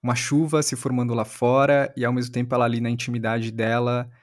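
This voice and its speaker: A man's voice: mid-range voice, flat delivery, fast. A Brazilian man interviewing on a podcast in a conversational way, thoughtfully building long questions step by step.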